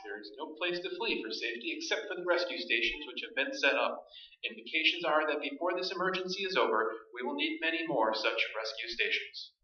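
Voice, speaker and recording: Bright-voiced male emergency newscaster. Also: a little high, animated, fairly quick. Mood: grave announcing. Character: earnest emergency news broadcaster questioning an expert. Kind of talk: staged radio play acting. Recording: echoey stage, distant, dull sound.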